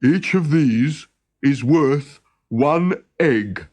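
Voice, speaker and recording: A man voicing a simple, excitable townsman in a children's story, speaking plainly and emphatically. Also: fairly high pitch, drawn-out words, full character voice. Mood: excited. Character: simple, excitable townsman, easily swayed, grumbles later. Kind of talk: storybook character voice.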